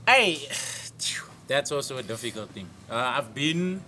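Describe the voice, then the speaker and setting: A man's voice: mid-range voice, sing-song, bright, forceful tone. A young man, a stand-up comedian whose first language is Afrikaans, chatting casually as a podcast guest with playful jokes. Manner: joking, playful, quick comebacks. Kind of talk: casual chat. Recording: noisy background.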